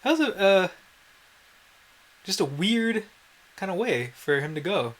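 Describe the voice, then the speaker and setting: A man's voice: high-pitched, very animated, unhurried. A man giving casual gameplay commentary in a wry, self-deprecating way, with some mild swearing. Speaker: let's play host.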